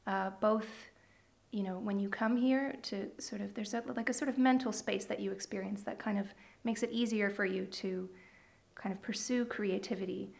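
A woman's voice: mid-range voice, steady tone, moderate pace, creaky, a little mellow. A woman in her thirties with a Canadian accent, chatting thoughtfully and a little hesitantly as a musician guest in a radio interview.

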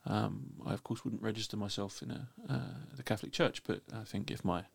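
A man's voice: lowish voice, steady tone, a little fast, gravelly, noisy background. A man with a London accent, a linguist guest giving blunt opinions with many restarts. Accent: London English. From England. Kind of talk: casual opinionated discussion.

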